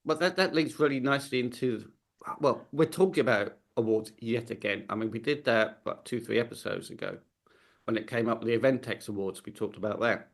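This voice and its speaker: Male host at a middle pitch, steady and measured, guiding a panel chat. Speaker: podcast host. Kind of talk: hosting a news podcast.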